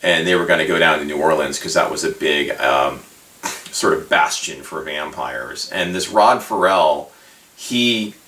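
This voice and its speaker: Low-voiced man rambling in an echoey room. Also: pushed and bright. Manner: halting, hesitant, meandering, informal. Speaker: video host. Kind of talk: casual rambling commentary on a hobby. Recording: roomy echo, distant microphone.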